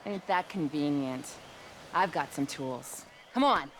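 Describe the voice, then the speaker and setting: A woman's voice: sits low, slow pace, poor audio. A woman playing a no-nonsense criminal crew helper in a video game, terse and matter-of-fact. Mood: firm, brisk. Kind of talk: scripted video game cutscene acting. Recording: very loud vehicle and ambient noise.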